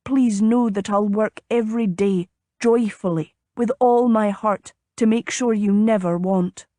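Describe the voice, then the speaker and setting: A woman voicing a courteous, gallant gentleman suitor in an audiobook, measured and formal in manner. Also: mid-range voice, no room sound, studio quality, close to an everyday voice. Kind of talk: audiobook character dialogue.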